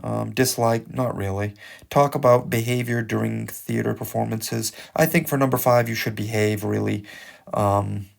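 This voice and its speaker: A man with a lowish voice and a gravelly, creaky edge walks students through exam questions. He muses and hesitates, filling pauses with ums and uhs. He sounds like a teacher explaining in an unhurried, informal way. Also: steady tone. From English-speaking country. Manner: musing, hesitant, many fillers. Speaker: English teacher. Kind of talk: exam-prep lesson talk.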